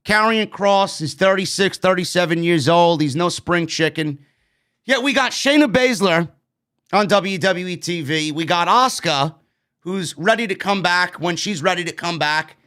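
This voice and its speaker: Brief: late-30s New York guy, blunt animated wrestling host, higher-pitched voice, close studio mic. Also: moderate pace. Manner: animated, gestures a lot, blunt and swearing. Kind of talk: casual show review. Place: streaming studio with neon bar backdrop. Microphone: broadcast mic on stand, headphones.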